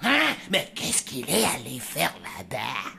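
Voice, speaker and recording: A stern, grumbling cartoon zombie cook, with a very creaky, gravelly voice on the low side. The delivery is demanding and swoops widely in pitch. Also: female voice, huge pitch swings, takes it slowly, bright, forceful tone, full character voice. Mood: irritated. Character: stern, irritable adult zombie in charge of the kitchen. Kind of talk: children's cartoon voice acting.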